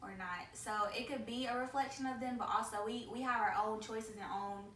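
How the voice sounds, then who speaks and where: A young woman with a high, bright voice hosts a casual vlog, chatty and friendly as she leads the questions. She sounds like she is a little distant from the mic in an echoey room. There is a faint hiss behind her. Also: fairly even intonation, brisk. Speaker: YouTuber host. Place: indoor room with guests.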